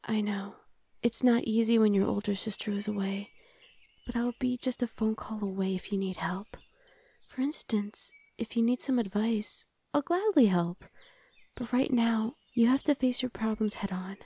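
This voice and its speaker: A young woman's voice, close and soft, as a woman plays a caring older sister who reassures in a hushed half-whisper. The tone is mellow and warm, and it sits a little high. The sound is dry, with no room around it. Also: moderate pace, husky, dry recording. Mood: reassuring. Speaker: voice actor. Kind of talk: ASMR roleplay.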